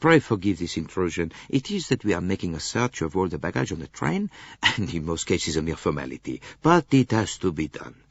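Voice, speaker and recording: A courteous, self-assured Belgian detective in an audiobook, with a French accent. His speech is precise and lively in pitch, with a husky edge in a muffled recording. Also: fairly quick, no room sound, exaggerated voice. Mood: apologetic.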